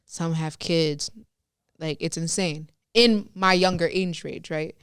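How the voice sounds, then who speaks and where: A woman's voice: low-pitched, steady tone, takes it slowly, clear, resonant tone, dry recording, clean studio sound. A young woman co-hosting a faith podcast, chatting casually in a rambling, self-interrupting way. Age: young adult. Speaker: podcast co-host. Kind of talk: casual chat.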